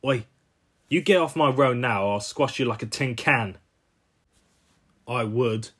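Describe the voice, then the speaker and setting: A man's voice: medium pitch, a little slow, fairly bright tone, slightly rough. Man voicing a blustering, bullying cartoon steamroller. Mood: belligerent. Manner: blustering, combative. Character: belligerent, boastful male steamroller, bullying and obsessive. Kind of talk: amateur cartoon character voice reel.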